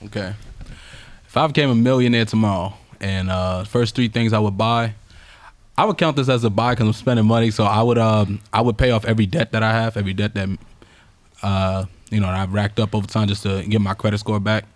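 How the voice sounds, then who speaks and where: Young New host, slangy and upbeat, rapid delivery, dry mic. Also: male voice, lowish voice, fairly even intonation, dry recording. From Brooklyn, New York. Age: 20s. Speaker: podcast host. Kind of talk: casual group chat.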